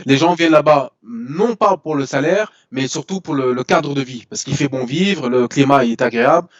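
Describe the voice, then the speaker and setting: A man in his forties from France, talking as a guest in an interview and telling his story with lively restarts. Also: mid-range voice, normal speed. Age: 40s. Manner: animated storytelling with frequent restarts and "voilà". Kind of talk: casual interview talk. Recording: dull lo-fi sound.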